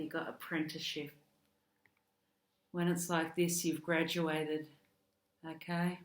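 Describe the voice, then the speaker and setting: A woman with an Australian accent who is losing her voice, giving a chatty tarot card reading with soft laughs and fillers. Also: deep voice. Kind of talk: casual direct-to-camera tarot reading. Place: home room with garden work outside. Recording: echoey room, faint outdoor garden work noise.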